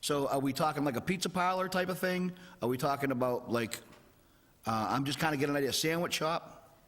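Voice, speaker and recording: A man's voice: a little high, a little fast, a bit bright. A man chairing a town zoning hearing, politely directing speakers and asking questions. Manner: polite, procedural, asks questions. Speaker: board chair. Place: town hall meeting room. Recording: reverberant hall.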